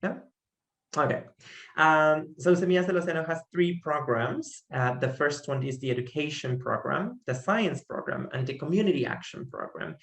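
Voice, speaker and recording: A man's voice: a little high, normal speed, vocal fry, a bit bright, echoey room. A young man from Guatemala with a Guatemalan Spanish accent, a native Spanish speaker giving a friendly, earnest presentation over a video call, with many fillers and restarts. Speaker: conservation organization founder. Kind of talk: online presentation talk.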